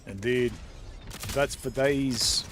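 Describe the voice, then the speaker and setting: A man casually talking through a co-op space game on stream, chatty and quick to laugh, thinking aloud with plenty of ums. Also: mid-range voice, a bit bright, a little hiss or hum. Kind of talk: casual gameplay commentary. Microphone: desk mic with noise suppression.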